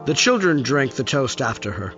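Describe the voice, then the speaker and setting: A man's voice: medium pitch, very animated, moderate pace, fairly bright tone. A man narrating a Victorian story as a scripted audio play. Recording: dull lo-fi audio with music behind.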